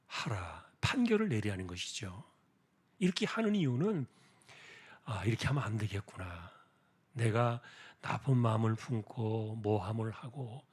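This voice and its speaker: A man preaching an expository sermon as a pastor, explaining deliberately with rhetorical questions and a husky voice. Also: medium pitch. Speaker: pastor preaching at a church prayer meeting.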